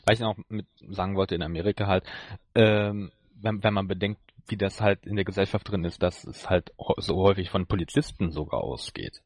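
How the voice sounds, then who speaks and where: Male German podcast co-host, lowish voice, casual discussion, very dry recording. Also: brisk, no echo. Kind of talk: casual discussion of audio drama.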